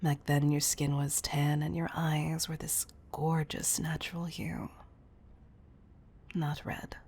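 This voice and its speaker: A woman playing a wealthy, obsessive CEO in an intimate audio roleplay, speaking in a breathy, gravelly murmur that is affectionate and confessional. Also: deep voice, level tone, slow, darkish tone, full character voice. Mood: confiding, wistful. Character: wealthy obsessive female CEO, tender yet controlling. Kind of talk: intimate audio roleplay monologue, partly whispered.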